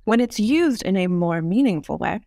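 A woman speaks as an interview guest in an articulate, conversational way. Her voice has a noticeable creak, and it is captured dry and close on a podcast microphone. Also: medium pitch, expressive, moderate pace, warm tone, no room sound. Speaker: guest, urban design expert. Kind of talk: explanatory podcast interview answers.

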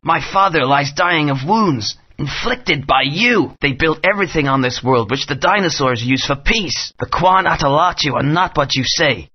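A man's voice: on the high side, animated, normal speed, breathy edge. An actor playing an earnest young male hero who argues back with conviction. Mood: defiant. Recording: lo-fi game audio.

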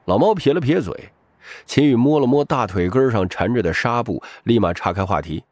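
A man's voice: medium pitch, animated, moderate pace, darkish tone, no room sound. A man giving dramatic scripted narration of a crime novel as an audiobook narrator. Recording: music bed behind the voice.

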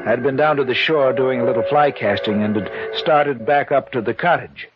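An actor playing an uneasy man telling his eerie story, measured and suspenseful, in 1940s radio style. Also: medium pitch, soft background music, natural voice. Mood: grave, suspenseful. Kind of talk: 1940s radio acting, dramatic narration. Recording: muffled, noisy 1940s radio recording.

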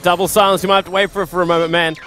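Fast, excitable young esports caster. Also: male voice, high-pitched, soft background music. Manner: rapid, excitable play-by-play. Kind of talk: esports play-by-play commentary.

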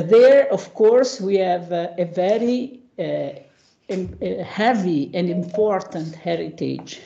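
Italian lady prof doing a slide lecture on a video call, kinda dark creaky voice, stops and hunts for words. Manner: halting, searching for words. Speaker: academic panelist, professor. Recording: muffled low-bandwidth video call audio.

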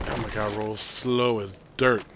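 A man in his thirties hosting a casual gameplay stream, stuffy with a cold and clearing his throat, rambling and correcting himself as he talks. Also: on the low side, steady tone, measured pace, a bit bright, a little hiss or hum. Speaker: streamer host.

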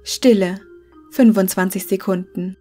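A woman's voice: mid-range voice, steady tone, measured pace, warm tone, soft background music. A woman reading scripted clinical logs as a narrator, formal and matter-of-fact.

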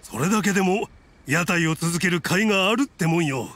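An actor playing a hearty middle-aged festival vendor in a video game, with rough, colloquial speech. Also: male voice, sits high, expressive, brisk, fairly bright tone. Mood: friendly. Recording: background game music.

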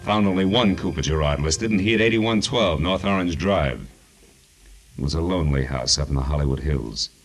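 An actor playing a hard-boiled, wry private detective in 1940s radio noir, terse, tough and dry. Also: male voice, rumbling low, normal speed, soft background music. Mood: wry, narrating.

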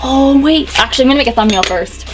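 Excitable young woman, voice going hoarse. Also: sits high, quick pace, background noise. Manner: excitable, chatty, gasps and laughs a lot. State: losing her voice, a bit hoarse. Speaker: YouTuber. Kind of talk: casual unboxing vlog. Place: small bedroom decorated with dolls.

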